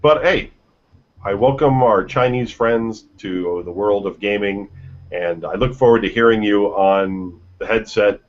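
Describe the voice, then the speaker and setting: A man with a lowish voice hosts a gaming news show, reading stories and then riffing on them with swearing and jokes. The audio sounds dull and lo-fi, like a home podcast recording. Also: a little slow, a little hiss or hum. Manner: reads headlines then jokes, swears freely. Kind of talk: casual news chat.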